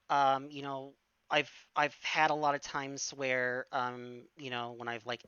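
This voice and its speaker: A woman in her forties with a bright, crisp voice, talking slowly and haltingly. She fills her pauses with ums and restarts as she chats on a stream. The sound is dry and a little dull. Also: very deep voice, no echo. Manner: hesitant, many fillers and restarts. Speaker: stream guest. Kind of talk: casual Q&A chat.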